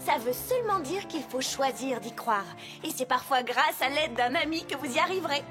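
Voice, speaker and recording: Earnest young unicorn pony, high, expressive, a touch formal. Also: female voice, normal speed. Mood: composed. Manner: earnest, articulate, a bit formal. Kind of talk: French cartoon dub. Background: cartoon music.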